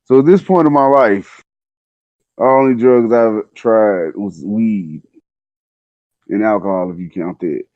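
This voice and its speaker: A man's voice: lowish voice, measured pace, pauses often, dry recording, highs cut off. A man telling a personal story in casual slang, laid-back and conversational, acting out the dialogue as he goes.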